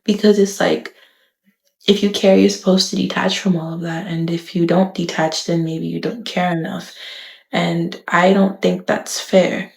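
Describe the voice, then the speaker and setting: A young woman talks straight to her camera, thoughtful and candid. Her voice sits a little low, with a gravelly fry at the edges. The room around her sounds echoey. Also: level tone, unhurried. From the Bahamas. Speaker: vlogger. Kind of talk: reflective talk to camera.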